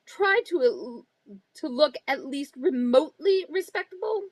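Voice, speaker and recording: A woman's voice: high voice, lively intonation, unhurried, no room sound. A woman playing a domineering scornful wife. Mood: bossy. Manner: cold, cutting, condescending. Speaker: radio drama actor. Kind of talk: live reading of an old-time radio script.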